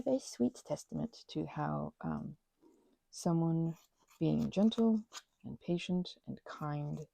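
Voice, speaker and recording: Low, gravelly, breathy-voiced woman. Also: dark tone, no echo, rough recording. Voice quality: breathy, slips into whispers. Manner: chatty, giggly, rambling with many fillers. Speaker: ASMR channel host. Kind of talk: soft-spoken rambling chat.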